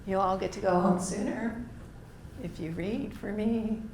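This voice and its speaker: A hoarse woman leading a writing workshop, coaxing participants to read aloud and encouraging them. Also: medium pitch, steady tone, unhurried, a little mellow. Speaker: workshop leader. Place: library meeting room. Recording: echoey room with faint noise.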